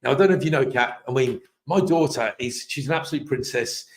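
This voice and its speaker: A man with a slightly hoarse voice hosts a live online show. He talks in a chatty, upbeat way, rambling and restarting his sentences as he goes. His voice sits at a middle pitch. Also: brisk. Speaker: host. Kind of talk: live webcast chat.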